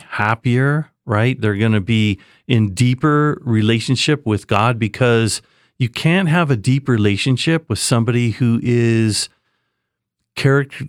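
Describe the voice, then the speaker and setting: A man's voice: mid-range voice, clean tone, no room sound, studio quality. A man who is a pastor, teaching on a radio show in a confident, emphatic way and checking in with "right?".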